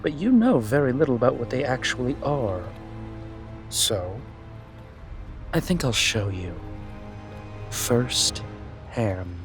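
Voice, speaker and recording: A man playing a haughty, calculating young prince in a fantasy audio drama, speaking in a pompous, deliberate, menacing way. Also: medium pitch, lively intonation, music in the background. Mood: quiet menace. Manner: pompous, deliberate, drawn-out delivery. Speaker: voice actor.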